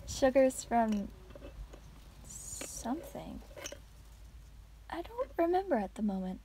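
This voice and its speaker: A woman playing a friendly, absent-minded part-dragon traveler in an ASMR roleplay, soft-spoken, chatty and musing. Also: high-pitched, sing-song, takes it slowly, exaggerated voice. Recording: faint noise, clicking sounds, outdoors.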